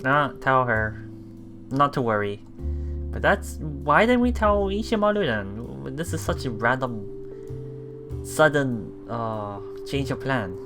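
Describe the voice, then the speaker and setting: A man reading a visual novel aloud for a let's play, halting and repeating words, breaking off for casual commentary. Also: mid-range voice, lively intonation, music in the background.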